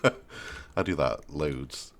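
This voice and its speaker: A man with a very deep voice, still stuffy from a cold, talks over his editing in a quiet, low-key mutter. He chuckles at his own slips and keeps it casual. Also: slow pace, slight background noise. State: still stuffy and a bit ill. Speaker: video maker explaining his editing.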